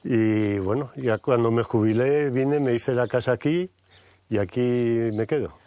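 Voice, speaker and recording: A man's voice: mid-range voice, a little slow, dark tone, dry recording. A retired man in his sixties, a sculptor and former engineer, chatting casually outdoors and repeating words as he thinks. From Spain. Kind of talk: casual chat. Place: outdoors on a village hillside.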